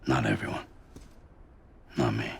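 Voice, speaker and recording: A man playing a weary, withdrawn loner courier in a video game, terse and understated, with a gravelly murmur. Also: very deep voice, fairly even intonation, a little slow, noisy background, full character voice. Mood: bleak, resigned. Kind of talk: dramatic video game cutscene acting.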